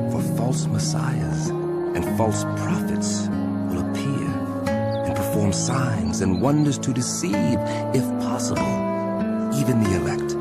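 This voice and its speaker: An actor playing a solemn teacher warning his followers, speaking with grave, emphatic and deliberate phrasing in a dramatic scripture reading. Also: lowish voice. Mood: urgent warning. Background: music.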